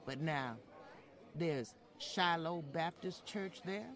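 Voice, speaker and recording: A woman's voice: lowish voice, slow pace. A woman playing an older Southern housekeeper and grandmother, plain-spoken and wry, in an old-time radio style drama. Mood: indignant, resolute. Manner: wry, chuckling, deferential but firm.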